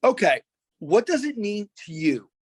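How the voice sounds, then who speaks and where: Man as interrogating attorney, high male register, persistent, clipped, probing. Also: very animated, a little slow, husky, heavily acted. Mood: patiently pressing. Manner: insistent, literal, clipped questions. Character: persistent, probing male lawyer questioning a witness. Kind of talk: dramatic reading of a deposition transcript.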